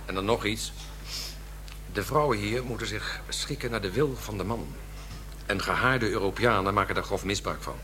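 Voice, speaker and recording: An actor playing a worldly Dutch expatriate guide in a radio drama, explaining things bluntly. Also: male voice, lowish voice, moderate pace, close to an everyday voice. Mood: explanatory. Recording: clear background noise and hum.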